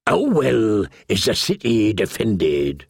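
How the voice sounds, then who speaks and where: A man voicing a grave, plain-spoken low-born smuggler in an audiobook, sober and measured. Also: huge pitch swings, vocal fry, breathy edge, dry recording, clean studio sound, full character voice. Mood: firm, sober. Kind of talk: audiobook character voice.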